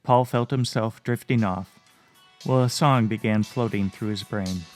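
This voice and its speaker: A man reading a fantasy story aloud in a calm, measured audiobook narration. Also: fairly low pitch, faint music behind.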